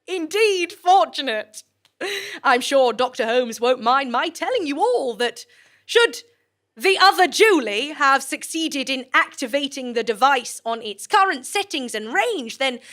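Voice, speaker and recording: A woman's voice: high voice, a bit bright, heavily acted. A woman playing a genial, unflappable institute director who speaks politely and formally, with droll understatement, in a comic audio drama. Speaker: research institute director addressing staff.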